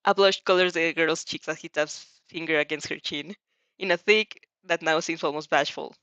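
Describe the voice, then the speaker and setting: A slightly low-voiced woman reads a fan story aloud in an expressive amateur style. She stumbles and repeats phrases as she goes. The sound is dry and a little dull. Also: normal speed, no room sound. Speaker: fanfiction audiobook reader.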